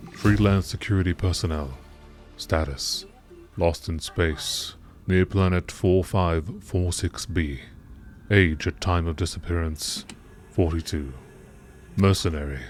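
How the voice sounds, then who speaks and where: A man narrates scripted log entries in a formal, measured manner. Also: sits low, steady tone, soft background music.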